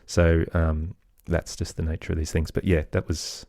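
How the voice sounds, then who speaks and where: Middle-aged male podcast guest, very low register, close dry sound, hesitant and conversational. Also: monotone, moderate pace, creaky, mellow, no echo. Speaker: podcast guest, audio storyteller and producer. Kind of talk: casual interview conversation.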